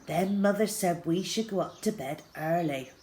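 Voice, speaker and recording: Female storyteller, lowish pitch, dramatic emphasis in children's fiction, noticeable background noise with birdsong. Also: normal speed, a little mellow. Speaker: storyteller reading a children's novel aloud. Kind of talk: expressive scripted story narration.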